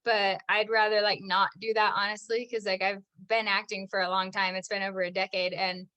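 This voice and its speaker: Young american actress, super chatty, lots of "like", bright clear voice. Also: female voice, medium pitch, flat delivery, a little fast. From United States. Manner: chatty, says "like" constantly, laughs often. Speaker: actress, podcast guest. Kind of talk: casual interview chat.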